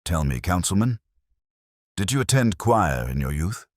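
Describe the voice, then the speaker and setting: A man's voice: sits low, very animated, normal speed, no echo, studio quality. A man voicing a mild, cryptic police inspector in a detective story, speaking in a formal, musing way. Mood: mildly probing.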